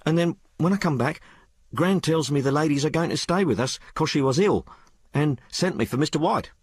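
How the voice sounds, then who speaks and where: A man voicing a rough, frank working-class young woman in an audiobook, blurting out her tale in colloquial, ungrammatical speech. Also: fairly high pitch, lively intonation, normal speed, dry recording, clean studio sound, pitched above the performer's own voice. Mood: frank, gossipy. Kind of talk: audiobook character dialogue.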